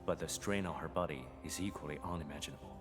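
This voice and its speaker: An actor playing a stoic, terse military operative in a video game, speaking formally and bluntly. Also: male voice, extremely low pitch, fast, loud music behind the voice. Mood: grave, calm.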